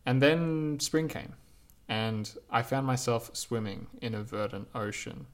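A man reading his own reflective essay aloud in a measured, lyrical, unhurried way. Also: mid-range voice.

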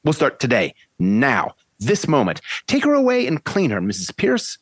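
A woman's voice: a bass voice, huge pitch swings, normal speed, no echo, heavily acted. A woman playing an arrogant, imperious male phonetics professor in an amateur play reading. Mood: grandiose. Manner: imperious, brusque, theatrical.

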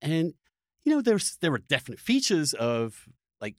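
Animated higher-voiced man, halting and emphatic. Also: dry recording, studio quality. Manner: halting, repeats and restarts phrases, emphatic. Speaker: podcast host. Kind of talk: opinionated unscripted conversation.

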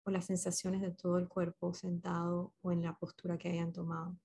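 A woman leading a guided meditation as a facilitator, speaking gently and unhurriedly with pauses between short instructions. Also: on the low side, completely flat delivery, gravelly, slightly rough.

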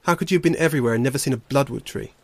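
A man's voice: medium pitch, very fast, no room sound, natural voice. A man voicing a proud, serious young male bear, a stubborn older brother speaking earnestly in a storybook. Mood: skeptical. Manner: earnest, challenging.

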